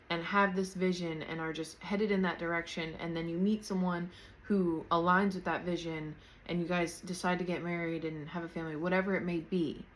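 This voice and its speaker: A thirty-year-old woman hosting her own podcast at home, talking candidly and loosely without a script, laughing lightly now and then, picked up by her camera's built-in mic. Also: on the low side. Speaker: podcast host. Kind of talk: unscripted casual personal talk. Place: at home, in pajamas. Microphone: camera's built-in mic, external mic unplugged.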